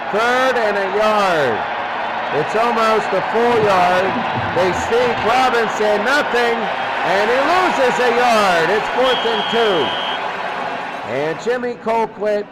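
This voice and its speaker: A man calling football play-by-play as a radio announcer, in short clipped phrases that he often repeats. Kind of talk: American football radio commentary. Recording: noisy recording with vehicle-like rumble.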